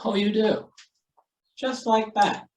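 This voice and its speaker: A man's voice: high voice, fairly even intonation, takes it slowly, darkish tone, roomy sound, distant mic, slight background noise. A man playing an exasperated, sarcastic newspaper editor in old-time radio style, blustering through his lines. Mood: dry disbelief. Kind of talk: old-time radio comedy-thriller acting.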